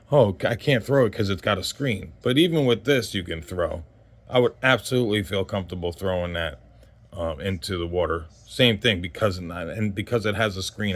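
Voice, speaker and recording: A man in his forties giving a casual, unscripted gear review as host, in a rambling conversational way that trails off mid-thought. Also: fairly low pitch. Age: 40s.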